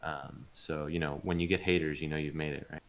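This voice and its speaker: A man speaking as an interviewed voice actor, chatting casually in a rambling, informal way and pausing to think. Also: extremely low pitch, fairly even intonation. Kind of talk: casual chat in a livestreamed interview.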